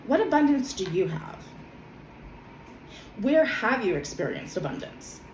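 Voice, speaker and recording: A woman, a little hoarse from a cold, talking gently with many pauses and self-corrections, then reading her own journal. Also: fairly high pitch, very animated, roomy sound, a little hiss or hum, rough recording. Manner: soft, hesitant, many ums and self-corrections. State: slightly hoarse from a summer cold. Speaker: vlogger.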